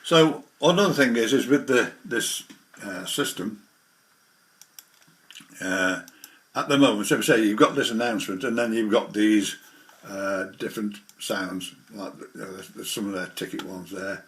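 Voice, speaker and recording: A man with a slightly low, forward, bright voice. He presents like a hobbyist showing his screen, casually explaining as he goes. His delivery wanders and halts. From the English Midlands. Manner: rambling, halting explanations. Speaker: hobbyist presenter demonstrating software.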